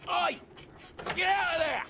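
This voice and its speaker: A man playing a gruff, bullying brothel doorman with a London working-class accent. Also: very high voice, a little slow, background noise, heavily acted. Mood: angry. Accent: London working-class English.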